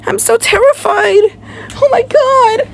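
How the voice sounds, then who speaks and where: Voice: very high-pitched, slow, vocal fry, background noise, voice pushed up, exaggerated voice. An amateur performer playing a panicked survivor trapped by an attacker, frantic and pleading with repeated exclamations. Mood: terrified.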